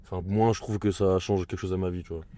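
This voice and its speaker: Quick-speaking young French man in a car. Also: low-pitched, steady tone, mellow. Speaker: podcast participant and driver. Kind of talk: casual chat, slangy. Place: inside a car on the road. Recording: clearly noisy moving car.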